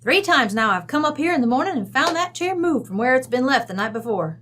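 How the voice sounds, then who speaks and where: A woman's voice: sits high, sing-song, fairly quick, a bit bright, heavily acted. A woman playing a bossy, blunt, folksy mother from Wyoming in an old-time radio drama. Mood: matter-of-fact. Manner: brassy, folksy, chatty.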